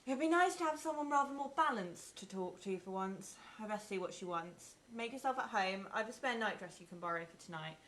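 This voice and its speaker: A woman's voice: mid-range voice, brisk, few pauses. A woman playing a dry-witted investigator and reading stage directions at a live sitcom table-read. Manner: dry, deadpan wit. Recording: live theatre with faint noise.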